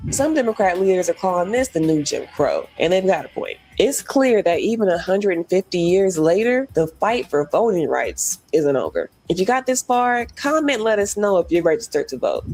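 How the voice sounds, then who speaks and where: A woman narrating a scripted news explainer in a measured, informative way. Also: fairly low pitch, darkish tone.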